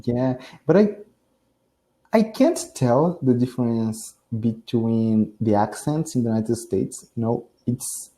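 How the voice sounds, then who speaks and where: A man's voice: medium pitch, expressive, slow pace, dark tone. A man from Brazil with a Brazilian Portuguese accent, speaking English as a learner in a casual video call, hesitant and often restarting his phrases. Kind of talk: casual online lesson chat.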